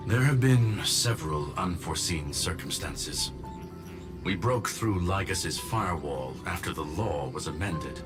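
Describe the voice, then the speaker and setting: A man voicing a courteous robot gentleman scholar in a video game, speaking formally and precisely. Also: low-pitched, expressive, measured pace. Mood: calm, precise. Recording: loud music under the voice.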